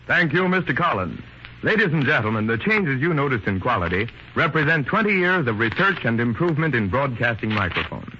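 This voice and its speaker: A man's voice: medium pitch, very animated, brisk, pushed and bright, slight background noise. A man presenting a radio demonstration in a formal, showman-like 1930s announcing style. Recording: dull lo-fi 1930s radio recording.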